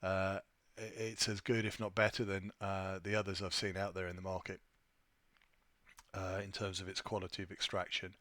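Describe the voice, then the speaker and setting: A man presenting an informal software tutorial, thinking aloud in a somewhat hesitant way as he demonstrates. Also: low-pitched, monotone, normal speed, no room sound. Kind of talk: informal screen-share walkthrough.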